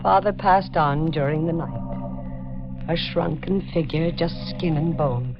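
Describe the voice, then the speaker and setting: A haunted-sounding old woman in a 1940s radio drama, brooding and grave. She speaks very slowly, on a dull vintage recording with music behind. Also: low voice. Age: older. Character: brooding old woman, last of a doomed family.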